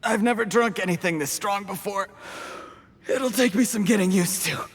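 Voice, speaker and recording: A man's voice: high-pitched, normal speed, breathy edge, long reverb tail. An actor playing a meek, apologetic young man with a polite, strained manner in a video game.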